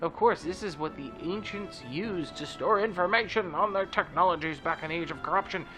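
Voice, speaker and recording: A man's voice: high-pitched, a little fast, music in the background, pitched above the performer's own voice, close to an everyday voice, low-quality recording. A man with a stuffy, nasal voice reading out a preachy church official who warns of dangerous old technology. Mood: ominous.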